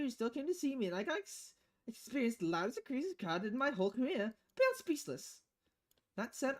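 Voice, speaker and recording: A woman voicing a bubbly, effusive female pop star sweetly addressing her fans in a visual novel game. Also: high voice.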